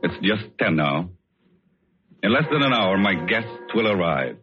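An actor playing a vengeful, obsessed painter feigning tenderness, in measured, hesitant 1940s radio suspense style. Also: male voice, fairly low pitch, very animated. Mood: cold hatred. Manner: measured, theatrical, hesitant pauses. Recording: dull old radio recording, music behind.

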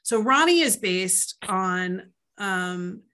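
A woman guest on a casual podcast talks about her books in a loose, rambling way, full of fillers and side remarks. Her voice is bright and forward, at an ordinary pitch for a woman. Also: animated, very slow, smooth. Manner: chatty, rambling, with many fillers and asides. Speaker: author guest.

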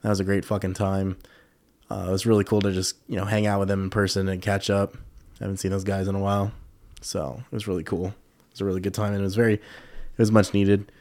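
Low-voiced, dark-toned man rambling casually. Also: little pitch movement, fast talker, dry recording. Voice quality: slightly congested. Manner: rambling, loose and candid, many fillers and swearing. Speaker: podcast host. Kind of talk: casual solo podcast chat.

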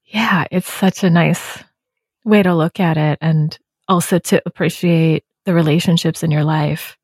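A woman's voice: low-pitched, steady tone, measured pace, darkish tone, slightly rough, no room sound, clean studio sound. A woman hosting a podcast, speaking in a reflective, thoughtful way. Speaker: podcast host. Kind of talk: conversational interview.

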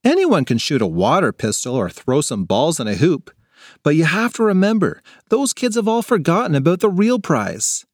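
A man's voice: on the high side, wildly swooping pitch, moderate pace, no echo, clean studio sound, voice pushed up. A man voicing a bossy, determined young girl in an audiobook, intense and fired up.

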